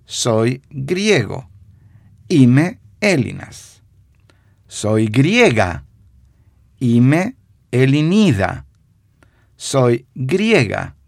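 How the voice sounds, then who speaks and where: A man giving a radio language lesson, repeating phrases slowly with hesitant fillers. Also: mid-range voice, big pitch swings, creaky, darkish tone, no room sound, clean studio sound. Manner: slow, repeats phrases for dictation; stumbling fillers.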